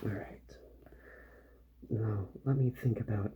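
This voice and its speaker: A man doing a soft-spoken ASMR roleplay as a calm, methodical repair doctor, musing gently to himself and often drifting into a whisper. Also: lowish voice, slow pace, husky. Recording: muffled audio with faint background noise.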